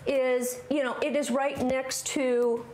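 A woman's voice: high voice, flat delivery, slow, darkish tone. A woman in her forties giving practical, conversational advice as a guest expert on live television, indoors in a large room. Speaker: professional organizer, guest expert. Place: large hard-surfaced laundry room indoors. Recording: roomy echo with background noise.

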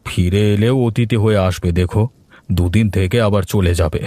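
A man's voice: lowish voice, fairly even intonation, normal speed, warm tone, no echo, lightly acted. A man voicing a sulky, bitter elderly village father in a cartoon family drama. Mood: downcast.

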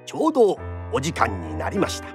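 A man's voice: mid-range voice, huge pitch swings, brisk, over music. A man narrating a radio drama in the theatrical, declamatory style of a traditional Japanese storyteller.

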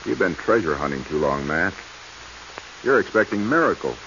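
Skeptical male partner: very low, dry, casual, in a muffled, faintly hissy vintage radio play. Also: big pitch swings, normal speed. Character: skeptical, mildly grumbling male treasure-hunting partner. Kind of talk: 1940s radio acting.

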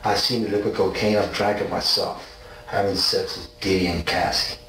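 A man's voice: low voice, little pitch movement, moderate pace, a bit bright. A man in his forties from South Africa and Argentina answers in a police interrogation, insistently, often checking with "okay?". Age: 40s. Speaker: suspect being interrogated. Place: small bare indoor interview room. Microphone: distant fixed room camera microphone. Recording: roomy, distant, noisy surveillance recording.

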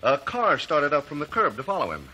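A man's voice: a little high, huge pitch swings, a little fast, pushed and bright, slight background noise, exaggerated voice. An actor playing an earnest, easily flustered eyewitness in an old-time radio courtroom drama, hesitant as he answers. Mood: certain. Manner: hesitant, stammering under questioning.

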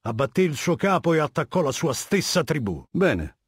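An actor voicing a cold, haughty middle-aged warlord dictator in a video game, lecturing in a measured, commanding and disdainful way. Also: male voice, a little high, big pitch swings, slightly rough, no room sound, studio quality. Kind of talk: video game character dialogue.

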